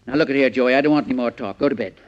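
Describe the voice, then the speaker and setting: An actor playing an aging, exasperated boxing manager with an American accent, urgent and cajoling, in 1940s radio crime drama style. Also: male voice, medium pitch, very fast, lightly acted. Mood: defensive. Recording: dull old 1940s radio recording.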